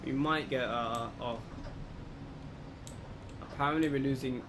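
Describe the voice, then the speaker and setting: A man giving casual, low-key let's play commentary, thinking aloud as he goes. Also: a little slow. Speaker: gaming video host. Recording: clear background noise, steady hum.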